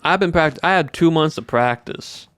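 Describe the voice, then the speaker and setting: A man's voice: on the high side, normal speed, fairly bright tone, clear, resonant tone, no room sound. A man with a put-on American Southern accent and a lax drawl that draws out sentence endings, chatting casually on a game stream. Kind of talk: casual gameplay livestream chat.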